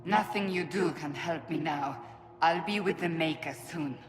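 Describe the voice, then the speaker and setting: A woman's voice: deep voice, a little slow, noisy background. An actress playing a despairing, devout warrior woman in a video game, solemn and formal in her anguish. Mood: resigned. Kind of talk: dramatic video game cutscene acting. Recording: echoey hall with music.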